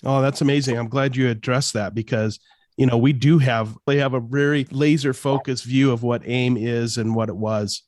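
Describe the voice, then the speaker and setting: A man's voice: mid-range voice, fairly even intonation, normal speed, a little mellow, dry recording, studio quality. A man hosting a podcast interview, casually affirming his guest. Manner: affirming, conversational. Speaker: podcast host.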